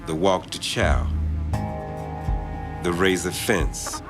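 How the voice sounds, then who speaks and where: A man reciting spoken-word poetry deliberately, with a pause after each short phrase. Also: low-pitched, expressive. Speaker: poet. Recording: prominent music under the voice. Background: music with brass and guitar.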